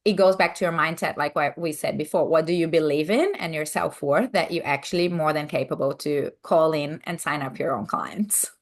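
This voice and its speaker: A woman's voice: low-pitched, normal speed, hardly any pauses. A woman from Brazil with a Brazilian accent, an upbeat coach chatting as a podcast guest. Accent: Brazilian Portuguese. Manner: upbeat, cheerleading. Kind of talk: casual interview chat.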